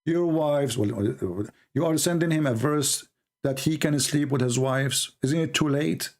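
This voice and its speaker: A man's voice: on the high side, measured pace. A man whose first language is Arabic, arguing his point insistently in a debate on a call. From Middle East. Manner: argumentative, insistent, repeats points.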